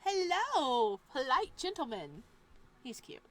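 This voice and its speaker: A young woman playing a visual novel. She reads the narration softly and breaks into loud, joking asides, casual and sometimes profane. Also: high-pitched, very animated, slow pace, slight background noise. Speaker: game streamer. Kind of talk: reading a visual novel aloud with commentary.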